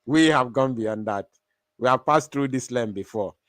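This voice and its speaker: A man's voice: medium pitch, moderate pace, a bit bright, dry recording. A man hosting an online stream, talking in a defiant, taunting way, laughing often and repeating his phrases. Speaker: online show host. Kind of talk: animated live-stream monologue.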